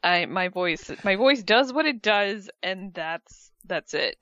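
A woman co-hosting a casual wrestling talk radio show, chatty and animated, saying her voice does not go low. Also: slow pace, no room sound, highs cut off. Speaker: podcast co-host.

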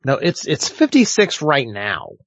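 A man co-hosts a casual talk show with dry, deadpan replies. The sound is dull and lo-fi, with no room echo. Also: mid-range voice, unhurried, breathy edge. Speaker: podcast co-host. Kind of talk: casual chat.

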